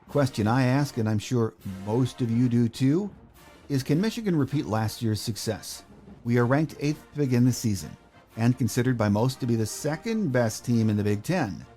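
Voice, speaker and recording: Man, American accent, sports podcast host, dark mellow timbre, scripted intro style, light music. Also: medium pitch, expressive, moderate pace.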